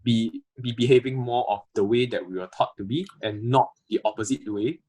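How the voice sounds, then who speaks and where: A man's voice: medium pitch, a little mellow, breathy edge. A man in his twenties with a Singaporean accent, a panel guest who repeats words while working out his point. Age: 20s. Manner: halting, repeats words as he thinks. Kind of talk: casual panel discussion.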